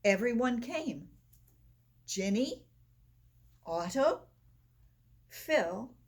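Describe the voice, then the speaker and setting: A woman reads a children's story aloud in a slightly high, husky voice. Her delivery is expressive and swings up and down in pitch. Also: extremely slow. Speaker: storybook reader. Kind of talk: expressive read-aloud of a children's picture book.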